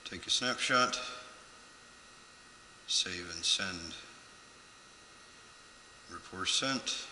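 A man working as an HVAC technician, calmly talking through his readings as he works. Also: low-pitched, very slow, reverberant, long reverb tail, a little hiss or hum. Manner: methodical, talks through readings. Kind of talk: narrating work on camera. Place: attic, garage and outdoors in snow. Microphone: head-mounted action camera.

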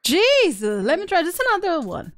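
A woman's voice: very high voice, huge pitch swings, normal speed, a bit bright, dry recording. A woman hosting a casual live game stream, playful and exclamatory, chatting with viewers and reading text aloud. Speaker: streamer, video game host. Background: faint video game music.

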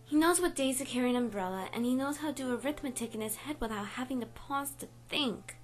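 A woman's voice: high voice, fast talker, faint music behind, natural voice. A woman voicing an earnest, curious, jumpy young woman who acts and narrates in a webcomic dub. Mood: earnest, fascinated. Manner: earnest, expressive. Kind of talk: dubbed webcomic acting and narration.

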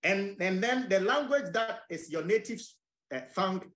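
A man from Ghana talks on a podcast in a high, animated voice that swings widely in pitch. He argues insistently and doubles back on phrases for emphasis. The room around him rings with echo. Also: moderate pace. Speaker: guest, farmer. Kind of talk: conversational podcast interview.

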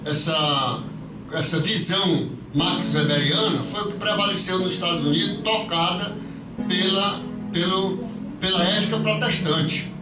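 A man's voice: high-pitched, a little slow, over loud music. An older man from Brazil giving a philosophical lecture, seated at a table in a hall, hesitant with many restarts and fillers. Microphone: far camera. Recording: roomy hall taken from far off.

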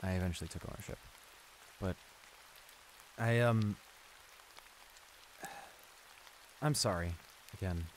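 A man's voice: low voice, slow, pauses often, poor audio. A man playing a shy, flustered school bully in an audio roleplay, stammering and hesitant as he apologizes and gets embarrassed. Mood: sheepish, apologetic. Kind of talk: intimate improvised audio roleplay acting. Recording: rain noise behind the voice. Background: steady rain.

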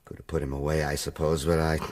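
An actor with an American accent playing a cocky, unrepentant crook who chuckles and drawls slang in a radio drama. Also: male voice, very deep voice, level tone, quick pace, darkish tone. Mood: brash. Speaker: John Garrideb, counterfeiter's accomplice.

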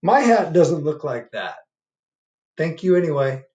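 A man voicing a polite, plodding bear in a picture book, with slow, courteous, deliberate speech. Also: fairly high pitch, sing-song, a little mellow, pitched above the performer's own voice. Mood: polite, hopeful. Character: polite, plodding male bear searching for his hat.

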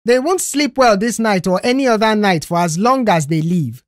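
A woman's voice: mid-range voice, animated, normal speed, dry recording, studio quality, pitched above the performer's own voice, close to an everyday voice. A woman voicing a resentful, sly adult son in an audio story, agitated and accusatory. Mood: agitated, bitter.

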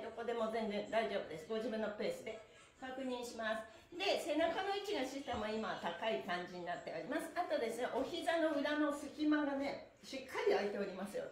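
A cheerful older woman leads a stretching class in a high, lively voice with sing-song cues. She sounds as if she is a few feet from the camera. The small room echoes. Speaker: stretch and mime instructor. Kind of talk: live-streamed exercise class. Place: small room with a floor mat. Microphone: camera a few feet away.

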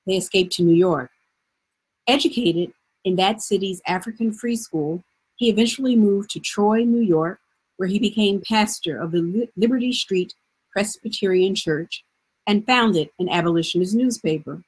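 A middle-aged woman presenting scripted historical narration in a measured, formal way. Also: medium pitch, a little mellow, breathy edge, rough recording. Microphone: video call.

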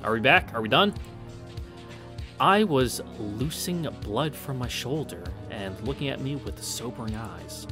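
A man casually reading a visual novel aloud as a streamer, with halting, wry asides. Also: mid-range voice, lively intonation. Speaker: let's play streamer. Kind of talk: casual read-through of a visual novel with commentary. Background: game music.

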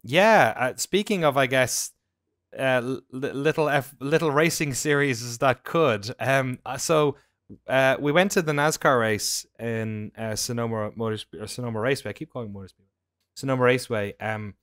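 An Irish man in his thirties, a podcast co-host telling anecdotes casually in an animated, informal way. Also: medium pitch, normal speed, no echo, clean studio sound. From Ireland. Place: indoor room at home on a video call.

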